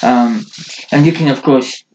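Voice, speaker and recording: A man's voice: mid-range voice, a little slow, darkish tone, dull audio, slight background noise. A man, a podcast co-host, chatting casually in a rambling, joking way with many restarts. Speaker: podcast co-host, multisport athlete. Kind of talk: casual chat.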